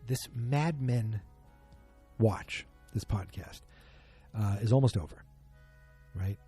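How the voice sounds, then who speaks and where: Man, solo podcast host, casual rambling chat, husky voice, faint music underneath. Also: on the low side, takes it slowly, warm tone. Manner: rambling, self-deprecating, joking.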